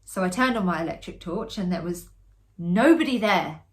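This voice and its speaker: A woman voicing a shaken, sheepish young man in a ghost story, halting and repeating words. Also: fairly low pitch, animated, close to an everyday voice. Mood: uneasy, confiding. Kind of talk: performed reading of a ghost story.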